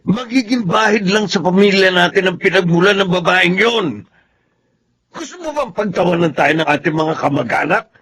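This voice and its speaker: Stern, higher-voiced father. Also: lively intonation, moderate pace, fairly bright tone. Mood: indignant. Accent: Filipino. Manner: lecturing, emphatic. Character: stern, class-conscious middle-aged father, disapproving. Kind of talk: Filipino radio drama acting.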